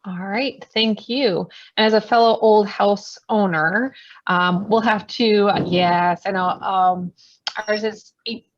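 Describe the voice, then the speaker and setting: A woman's voice: mid-range voice, steady tone, slow, no echo, dull audio. A woman moderating a forum in a chatty, informal way.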